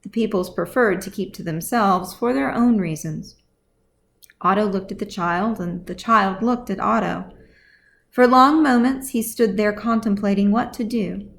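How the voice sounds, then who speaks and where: A woman's voice: medium pitch, unhurried, vocal fry, a bit bright. A woman reading scripted fantasy fiction as audiobook narration, as the author of the story.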